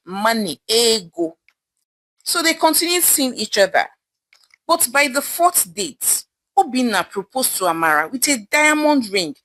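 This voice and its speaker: A woman's voice: a little high, bright, forceful tone, rough recording. A woman with a Nigerian accent narrating a folktale dramatically as a storyteller.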